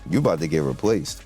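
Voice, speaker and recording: A young man giving casual gameplay commentary, rambling and excitable, often restarting his sentences. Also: sits low. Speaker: gaming video creator.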